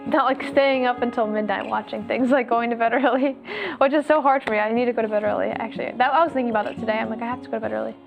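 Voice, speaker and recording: A woman with a somewhat high, slightly hoarse voice leads a relaxed faith conversation. She rambles in a chatty way, stacking "like" through her sentences. Plucked guitar music plays clearly under her voice. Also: rapid-fire, few pauses. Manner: chatty, fills speech with "like". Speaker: host. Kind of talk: casual faith discussion.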